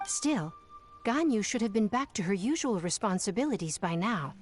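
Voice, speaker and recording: A woman's voice: mid-range voice, expressive, moderate pace, lightly acted. A woman voicing a prim young female private secretary in a game who speaks formally and precisely. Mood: earnest. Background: game music.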